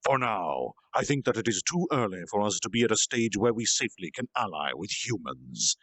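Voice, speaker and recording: A man acts out an earnest slime leader, once human, in a low voice with wide swings of pitch. The delivery is solemn and halting, like a confession from a fantasy storybook. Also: big pitch swings, fairly bright tone, husky, no room sound, exaggerated voice. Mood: earnest, reasoning.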